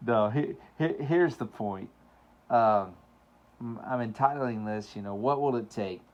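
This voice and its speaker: A man's voice: medium pitch, slow pace, pauses often, dull audio. A middle-aged man hosting his own show, talking in a rambling, casual monologue full of fillers and laughs, his voice cracking at times. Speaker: show host.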